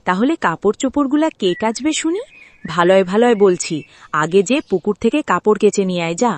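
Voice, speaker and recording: A woman voicing a cruel, sarcastic, sharp-tongued middle-aged village stepmother in a cartoon. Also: medium pitch, lively intonation, brisk, natural voice. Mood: scornful. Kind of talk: Bengali cartoon dialogue.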